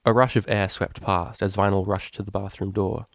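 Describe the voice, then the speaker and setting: A man reads a story aloud as its narrator. His voice sits on the low side, and the recording is dry with hardly any room in it. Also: fairly even intonation, normal speed, vocal fry, no room sound. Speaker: fan fiction reader.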